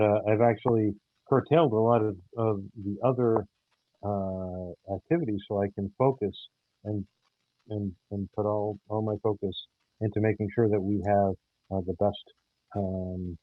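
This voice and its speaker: A man answering interview questions about a radio show, speaking in a halting way with repeated words. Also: on the low side, fairly even intonation, dry recording. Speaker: audio theater director and producer, guest. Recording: muffled, phone-like, narrow-band line.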